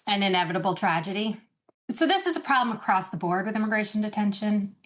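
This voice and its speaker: Woman law professor, mid pitch, brisk firm answers in an interview. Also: expressive, a bit bright.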